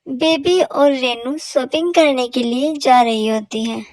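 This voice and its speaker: A woman reading scripted storybook narration for an animated cartoon. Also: high voice, measured pace, dry recording.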